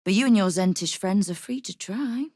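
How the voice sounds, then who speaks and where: A woman's voice: medium pitch, moderate pace, darkish tone, no echo, close to an everyday voice. An actress playing a tough, sardonic woman who runs a city thieves' guild in a fantasy video game, with a dry, commanding manner. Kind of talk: video game character acting.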